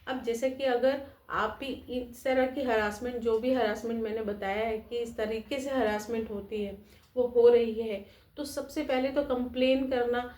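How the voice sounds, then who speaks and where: A woman's voice: a little high, darkish tone, echoey room. A woman lawyer explaining legal advice directly to viewers in an instructive way. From India. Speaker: lawyer giving legal advice, channel host.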